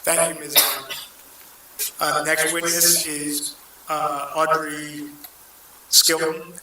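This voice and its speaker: A man chairing a hearing, calling witnesses in a slow, friendly, procedural way. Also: on the high side, flat delivery, bright, forceful tone, very echoey, a little hiss or hum, rough recording.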